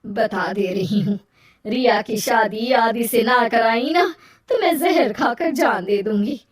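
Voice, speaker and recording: Woman acting a melodramatic, high-voiced village mother. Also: slow. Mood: threatening, proud. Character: pushy, melodramatic village mother, ambitious for her daughter. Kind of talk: animated moral story dialogue. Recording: roomy echo, distant from mic.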